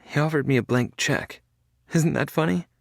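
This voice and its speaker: A man's voice: medium pitch, normal speed, vocal fry, dry recording, studio quality, voice pushed up. A man voicing a frail, sick young woman who is tearful and pleading.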